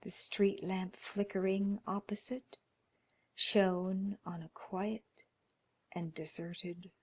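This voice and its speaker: A female narrator reads an eerie story at a slow, deliberate pace. Her mid-pitched voice is expressive and dramatic, sinking at times into hushed tones. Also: breathy edge. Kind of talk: scripted horror story narration.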